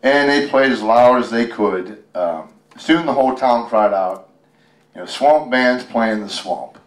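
A man's voice: mid-range voice, measured pace. An American man reading a picture book aloud at a casual storytime, joking and chatting with folksy asides. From United States, Western Pennsylvania. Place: library room, live event. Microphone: handheld microphone held by a helper. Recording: roomy, distant from the microphone.

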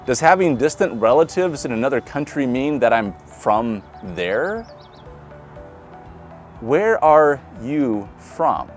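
A man in his forties with a Midwestern American accent, a pastor giving an online sermon to camera in a conversational way. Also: a little high. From Nebraska. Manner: conversational, chuckles, repeats words when restarting. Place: outdoors beside a brick building. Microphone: camera at close range.

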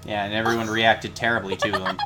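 A man's voice: on the low side, level tone, brisk, noisy background. A man giving casual commentary while playing a video game with friends. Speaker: player in a group game playthrough. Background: video game music.

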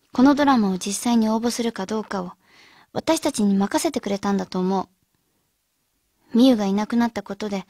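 A woman's voice: mid-range voice, a little mellow, no room sound, studio quality, close to an everyday voice. A woman acting as an earnest teenage schoolgirl who leads her club friends in a radio drama.

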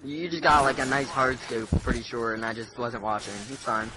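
A man's voice: mid-range voice, a little fast, background noise. A young man giving casual, crude game commentary into a headset mic, teasing his friends and laughing often. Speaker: gameplay commentator.

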